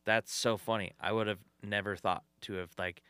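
Bright, smooth-voiced male comedian guest. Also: fairly low pitch, steady tone, no echo. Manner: halting, lots of fillers and laughs. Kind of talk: casual chat.

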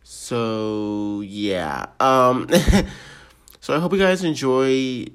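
A man's voice: medium pitch, drawn-out words, fairly bright tone, clear, resonant tone. Rambling, giggly nineteen-year-old guy. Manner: rambling, self-deprecating, lots of ums and giggles. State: sick, voice a bit off. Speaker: podcast host. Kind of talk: casual solo podcast intro.

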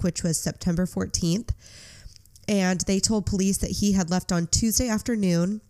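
A woman's voice: lowish voice, a little mellow, smooth. A young woman hosting a true crime podcast, sounding congested from a cold, chatty and earnest. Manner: chatty, earnest, apologetic asides. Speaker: podcast host.

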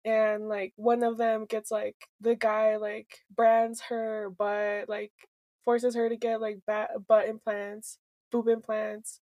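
A young woman co-hosts a podcast in loose, rambling chat, full of fillers, swearing and quick switches into Spanish. Her voice sits fairly high for a woman. The sound is dry and close, with no room around it. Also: monotone, dark tone, no room sound. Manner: rambling, filler-heavy, swears freely, laughs often; switches between English and Spanish mid-sentence. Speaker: podcast co-host.

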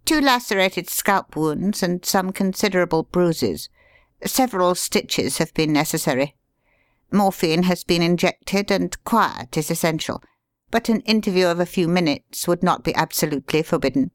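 A man's voice: sits high, moderate pace, no room sound, clean studio sound, pitched above the performer's own voice, lightly acted. A man voicing a famous male surgeon giving a clipped medical report. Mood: brisk.

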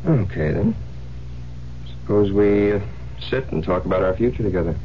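Low-voiced, probing husband. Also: male voice, animated, moderate pace. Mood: coaxing, teasing. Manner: conversational, probing, needling. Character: smooth-talking, probing psychiatrist husband Tom, quietly menacing. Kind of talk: old-time radio drama acting. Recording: muffled vintage radio recording, humming hiss.

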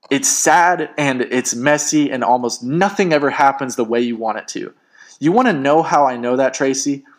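A man's voice: mid-range voice, close to an everyday voice. A man voicing a bitter, irritable man arguing in a story, sarcastic and emphatic. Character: bitter, irritable, argumentative man in a breakup fight.

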